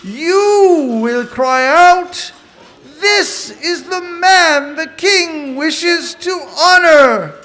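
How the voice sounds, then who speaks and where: A performer voicing a pompous, excitable puppet king in a children's puppet show, with drawn-out theatrical delivery. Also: very high-pitched, full character voice. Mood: commanding, gleeful.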